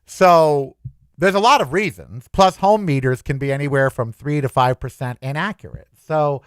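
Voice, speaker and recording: A man hosting a solo podcast, talking in a chatty, anxious, animated way. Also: fairly high pitch, normal speed, smooth, no room sound. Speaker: podcast host. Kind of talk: casual solo podcast monologue.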